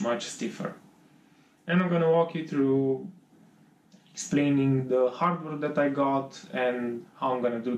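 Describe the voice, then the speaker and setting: A man in his forties from Romania with a Romanian accent, explaining a project in his home workshop, hesitant and often correcting himself. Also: medium pitch. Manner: hesitant, self-correcting, many fillers. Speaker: maker vlogger. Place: home workshop with pegboard and bench.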